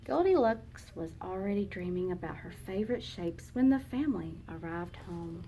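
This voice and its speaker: A woman teacher reading a children's picture book aloud, slow and expressive for young listeners. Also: on the low side, a little hiss or hum, low-quality recording.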